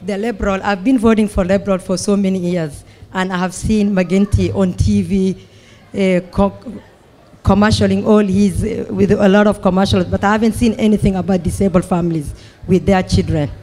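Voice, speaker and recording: A parent from the audience asking a question at a public debate, earnest and halting. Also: medium pitch, fairly even intonation, a little mellow. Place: public meeting hall.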